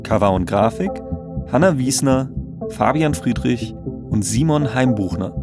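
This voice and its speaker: Male podcast co-host, conversational scripted narration with clear music behind. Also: mid-range voice, expressive, unhurried.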